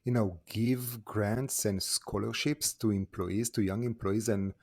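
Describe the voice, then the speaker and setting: Low-voiced man hosting an interview. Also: fairly even intonation, a little slow, darkish tone. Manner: friendly, rambling questions. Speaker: podcast host. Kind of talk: casual interview chat.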